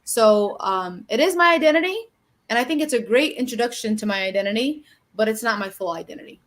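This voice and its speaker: A woman's voice: fairly high pitch, animated, a little fast. A woman from Michigan co-hosting a podcast, chatting casually and telling stories with emphasis. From Michigan, United States.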